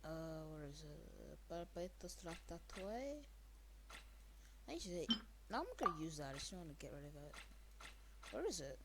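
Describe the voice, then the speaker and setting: A woman's voice: low-pitched, very animated, normal speed, long pauses, background noise. A woman doing casual gameplay commentary, rambling and muttering to herself as she plays. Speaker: gamer recording a playthrough.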